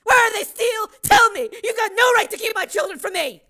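A woman's voice: high voice, lively intonation, pushed and bright. A woman playing a frantic, tearful mother. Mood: angry. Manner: anxious, halting, tearful. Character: distraught estranged mother, frantic, defensive. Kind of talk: dramatized audiobook acting.

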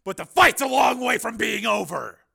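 A man voicing an angry, commanding renegade police lieutenant in an audiobook, with forceful, clipped, demanding delivery. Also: high-pitched, big pitch swings, moderate pace, creaky, breathy edge, voice pushed up, exaggerated voice. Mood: grim defiance. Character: defiant male cyborg police lieutenant on the run, commanding, angry.

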